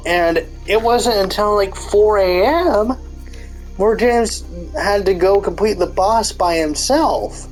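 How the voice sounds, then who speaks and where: A man's voice: sits high, slow, poor audio. Rambling stuffy-nosed man with faint music behind. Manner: rambling, many fillers and restarts; swears casually. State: stuffy nose. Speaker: commentary YouTuber. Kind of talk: casual gaming commentary rant.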